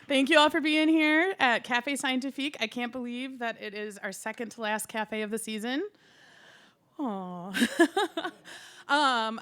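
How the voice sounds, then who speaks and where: Smooth-voiced woman hosting with a giggle. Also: fairly high pitch, fairly bright tone. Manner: chatty and giggly, with many fillers. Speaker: event host. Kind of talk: casual introduction to a live audience.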